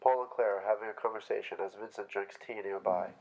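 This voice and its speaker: A man reading the stage directions of a play as scripted narration in a staged reading, with a gravelly voice. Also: lowish voice, steady tone, fast talker, a little mellow, dull audio.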